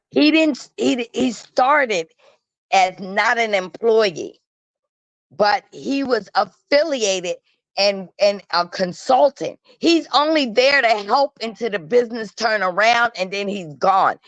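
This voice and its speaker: A woman's voice: on the high side, animated, slow, a bit bright, dry recording. A woman giving casual gossip commentary as a guest, emphatic and matter-of-fact, with colloquial phrasing. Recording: dull, lo-fi call audio.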